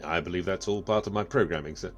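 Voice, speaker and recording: A man's voice: low-pitched, fast talker, dull audio, soft background music, natural voice. A man playing a laconic, formal artificial intelligence in a sci-fi audio drama, matter-of-fact and businesslike.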